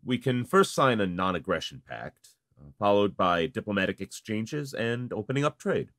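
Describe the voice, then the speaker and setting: A man's voice: on the low side, sing-song, moderate pace, a bit bright, no room sound, studio quality. A man voicing a proud, courtly human nobleman in a visual novel, speaking formally. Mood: diplomatic. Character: proud armored nobleman, a diplomatic human marquis.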